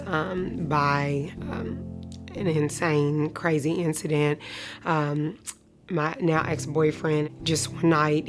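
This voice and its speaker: A woman of thirty-five giving a personal testimony in an interview, speaking in a measured way with pauses. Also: sits low. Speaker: client of a shelter charity telling her story. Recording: music playing behind the voice. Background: instrumental music.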